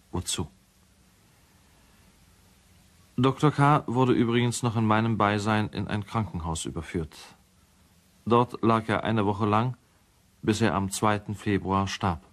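Man acting serious lawyer in a radio play, lowish voice, formal n measured, reading out his account. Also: close to an everyday voice. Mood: grave, troubled. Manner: measured, matter-of-fact, formal. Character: sober, guilt-troubled male lawyer giving a written account. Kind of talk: radio drama narration, formal testimony.

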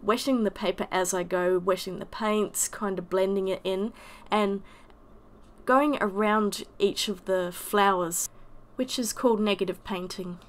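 A woman with a croaky voice from a summer cold, chattily narrating a watercolour painting voice-over. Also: medium pitch, fairly even intonation, a little slow. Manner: chatty, self-deprecating. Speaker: watercolour artist.

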